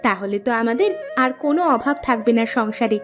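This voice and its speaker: Young village wife played by a woman, very high voice, nagging but affectionate cartoon exclamations. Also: normal speed, muffled recording, music in the background, close to an everyday voice. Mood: cheerful, eager. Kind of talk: Bengali cartoon voice acting.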